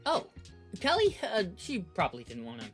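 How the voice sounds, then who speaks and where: A woman acts out a shy, good-hearted young hero in a superhero audio drama. The delivery is halting and bashful, with big swings in pitch and music behind it. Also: wildly swooping pitch. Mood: awkward, friendly. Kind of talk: scripted audio drama acting.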